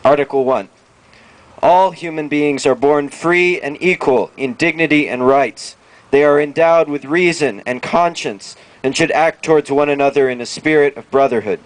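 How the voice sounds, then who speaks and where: A man reading a formal document aloud at a public event in measured, deliberate phrases. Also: fairly high pitch, dry recording, low-quality recording. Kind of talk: formal read-aloud of a declaration. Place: outdoor town plaza.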